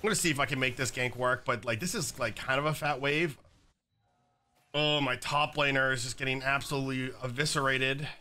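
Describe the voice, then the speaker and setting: A young man with a slightly raspy voice from being sick, chatting casually while commenting on his own gameplay at a desk setup. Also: medium pitch, fairly even intonation, a little fast, sharp tone. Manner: casual, chatty, many fillers like "like" and "um". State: slightly raspy, recovering from illness. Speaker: gaming YouTuber. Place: home gaming setup, indoors.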